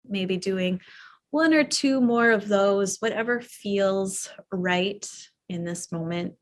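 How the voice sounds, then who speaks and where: A woman teaching a yoga nidra class, talking softly and soothingly with long pauses as she guides a guided relaxation. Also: medium pitch. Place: live online or studio class.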